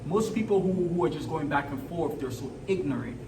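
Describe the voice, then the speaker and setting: A man's voice: a little high, expressive, a little fast, gravelly. Young man, Ghanaian accent, earnest, keeps asking "right?", distant mic, echoey room, audible background noise. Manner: earnest, repeats "right?" and "you know". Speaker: guest. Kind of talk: casual discussion.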